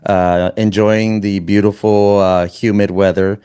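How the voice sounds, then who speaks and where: A man hosting a running podcast, chatting casually and rambling with many halting restarts. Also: fairly low pitch, little pitch movement, dark tone, clean tone. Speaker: podcast host. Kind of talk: casual interview chat.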